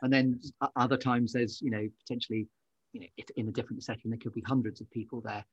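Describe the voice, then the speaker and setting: Male podcast host, middle-aged, effusive and affirming, mid-pitched voice on slightly muffled, dry lo-fi audio. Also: little pitch movement, fast, husky, no echo. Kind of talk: conversational interview.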